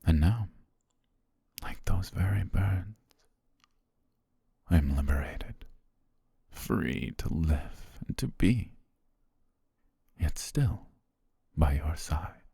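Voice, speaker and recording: A very low, gravelly, husky voice: a man playing a formal, devoted butler murmuring to his princess bride, slow and hushed with a hint of quiet possessiveness. It sounds close and completely dry, like an intimate audio drama. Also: lively intonation, no echo, full character voice. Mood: tender, hushed. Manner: soft, formal, half-whispered delivery. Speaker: ASMR roleplay voice actor. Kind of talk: intimate ASMR roleplay monologue.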